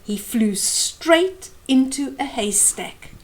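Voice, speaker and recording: Slow, animated woman reading to children. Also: sits high. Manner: deliberate, explaining pictures to young listeners. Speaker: children's storytime host. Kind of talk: expressive picture-book read-aloud for children. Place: small indoor room.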